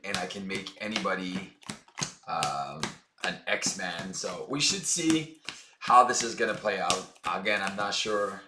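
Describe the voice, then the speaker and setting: A hoarse man chatting casually as he plays through a card game for his channel, upbeat and full of fillers. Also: lowish voice, sharp tone, roomy sound. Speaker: board game channel host. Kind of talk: casual tabletop game playthrough.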